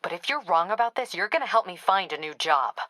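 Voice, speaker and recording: Crisp, forceful no-nonsense police captain. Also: female voice, fairly low pitch, animated, no room sound. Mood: terse, stressed. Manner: brisk, clipped, dryly sardonic. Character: tough, wry, no-nonsense female police captain. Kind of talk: video game voice acting.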